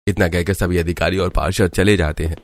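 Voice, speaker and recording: A man's voice: sits low, steady tone, a little fast, faint music behind. A man narrating a scripted family story as its storyteller.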